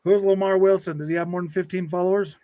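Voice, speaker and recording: Rambling man in his forties, higher-pitched voice. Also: fairly even intonation, fast talker, mellow, dry recording. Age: early 40s. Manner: rambling, repetitive, slangy, calls listeners dude, man and bro. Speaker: gaming channel streamer. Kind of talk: casual livestream chat, answering and reading out viewer comments.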